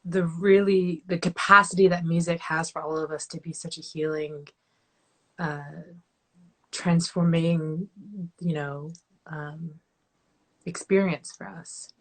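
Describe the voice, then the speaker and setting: A woman hosting a podcast with a slightly low voice. Her talk is effusive and heartfelt, often dropping into soft asides, over a video call. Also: steady tone, takes it slowly, low-quality recording. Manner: warm, effusive, trailing into softer asides. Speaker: podcast host. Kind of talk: casual interview.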